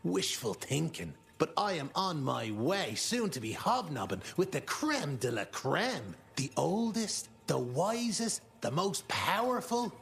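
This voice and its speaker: An actor playing a pompous, scheming, boastful vampire upstart in a video game, with a florid, smug, self-important delivery. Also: male voice, on the high side, sing-song, measured pace, creaky, few pauses, a bit bright, exaggerated voice. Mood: grandiose, scheming. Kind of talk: video game monologue acting.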